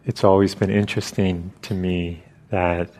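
Guy teaching meditation, deep voice, really slow, short phrases w long gaps. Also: level tone, a little mellow. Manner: halting, with long pauses between short phrases. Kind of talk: guided meditation instruction.

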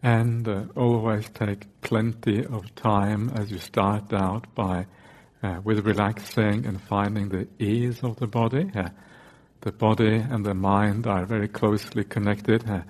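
A man teaching guided meditation in short, unhurried phrases, often broken by hesitant "uh"s. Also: on the low side, steady tone, darkish tone.

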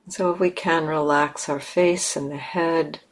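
A woman leading a guided meditation in measured, instructive phrases with long pauses. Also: sits low, steady tone, poor audio. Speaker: meditation teacher.

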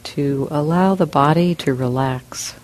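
A woman leads a guided meditation, speaking slowly in short phrases with long pauses. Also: deep voice, darkish tone. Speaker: meditation teacher. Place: meditation center hall.

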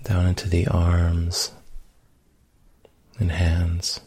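A man leading a guided meditation in a gentle, unhurried manner with long pauses. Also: low-pitched, completely flat delivery, breathy edge. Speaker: meditation teacher.

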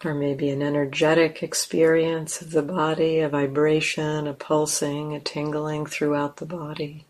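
A woman leading a guided meditation as a teacher, speaking gently and leaving long pauses between short phrases. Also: sits low, completely flat delivery.

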